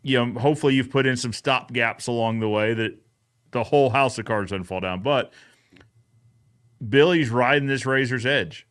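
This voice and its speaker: A man's voice: mid-range voice, normal speed, fairly bright tone, smooth. A man hosting a casual live recap show, talking in an agreeable, conversational way and often checking in with "right?". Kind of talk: casual live podcast chat.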